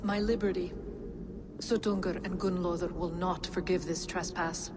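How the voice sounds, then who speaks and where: An actress playing a solemn, mysterious keeper of magical secrets in a video game, measured and grave. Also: female voice, fairly low pitch, fairly even intonation, noisy background, natural voice. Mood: grave, knowing. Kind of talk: video game cutscene acting.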